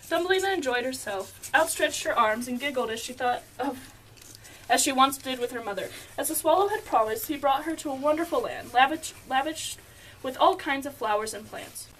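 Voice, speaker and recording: A woman's voice: sits high, expressive, moderate pace, fairly bright tone, slight background noise, poor audio. A young woman narrating a scripted fairy tale as storyteller, stumbling over words now and then as she reads.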